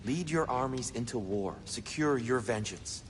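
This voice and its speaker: An actor playing a weary, defeated old god in a video game, subdued and full of self-doubt. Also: male voice, mid-range voice, expressive, a little slow, big-room sound, slight background noise, natural voice. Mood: weary, doubtful. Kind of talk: dramatic video game acting.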